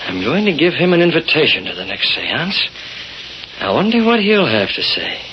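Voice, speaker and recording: An actor playing a decisive newspaper publisher who is secretly a masked crime fighter, brisk and confident in old radio-drama style. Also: male voice, fairly high pitch, wildly swooping pitch. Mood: confident, scheming. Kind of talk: 1930s-40s radio acting. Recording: muffled, noisy old radio recording.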